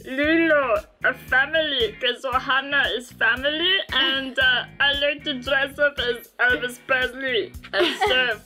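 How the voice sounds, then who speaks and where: Young woman doing a playful impression, sharp high voice, slow. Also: steady tone, soft background music. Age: young adult. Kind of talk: playful put-on impressions and banter.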